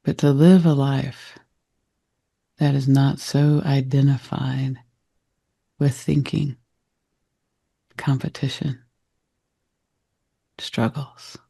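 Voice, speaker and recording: A man guiding a meditation in a gentle, reflective manner, with deliberate short phrases and a soft, husky, gravelly voice. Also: mid-range voice, flat delivery, no room sound. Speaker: meditation guide. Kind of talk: guided meditation talk.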